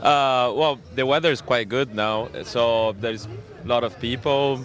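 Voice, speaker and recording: A man's voice: mid-range voice, fairly even intonation, unhurried, fairly bright tone. A man from Brazil with a Brazilian accent, chatting casually in an outdoor interview. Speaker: market visitor being interviewed. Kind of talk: casual chat. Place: outdoors at an urban market.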